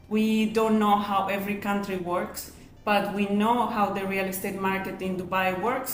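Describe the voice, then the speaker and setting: A middle-aged woman hosts an instructional webinar in a medium-pitched voice. She explains step by step and often poses questions that she answers herself. The room is echoey, with a faint layer of noise behind her. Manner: asks and answers own rhetorical questions. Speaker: webinar host and real estate agent.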